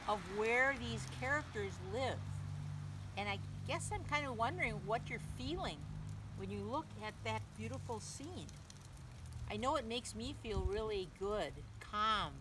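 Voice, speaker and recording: A woman reading a picture book aloud to young children, gently, in simple phrases, pausing to ask the listener questions. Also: a little high. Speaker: children's story reader, teacher. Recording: clearly noisy background.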